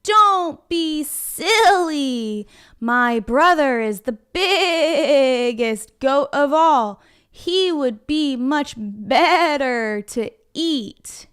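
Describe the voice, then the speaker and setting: A woman voicing a nervous middle-sized goat in a storybook, in a high, fluttery voice. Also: lively intonation, extremely slow, fairly bright tone, full character voice. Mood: persuading. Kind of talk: storybook read-aloud.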